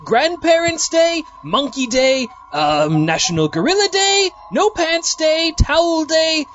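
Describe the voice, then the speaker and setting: Nutty clueless doctor, high pitched, slow talker, theatrical, comic radio play, kinda muffled. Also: female voice, big pitch swings, a little hiss or hum, exaggerated voice. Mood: puzzled, earnest. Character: eccentric, clueless doctor, cheerfully oblivious. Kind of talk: comic audio drama acting.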